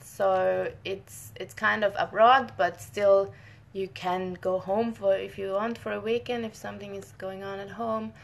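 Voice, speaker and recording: Chatty woman from northern Italy, gravelly. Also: mid-range voice, little pitch movement, a little slow. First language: Italian. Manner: friendly, chatty, many restarts and short laughs. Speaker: scientist hosting a live Q&A. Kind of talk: casual live-stream chat.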